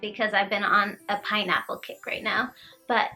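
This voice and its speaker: A woman's voice: mid-range voice, little pitch movement, normal speed, pushed and bright, soft background music, poor audio. A young woman from Georgia, chatting casually to camera in a home kitchen, rambling and giggly. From Georgia, USA. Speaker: lifestyle vlogger. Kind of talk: casual vlog chat to camera.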